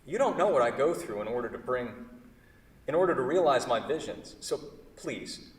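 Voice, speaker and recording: Wounded perfectionist artist played by a male actor, highly animated delivery, stage drama reading, picked up from a distance in a big echo. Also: moderate pace, natural voice. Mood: defensive, indignant. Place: theatre hall. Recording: distant mic in echoey hall.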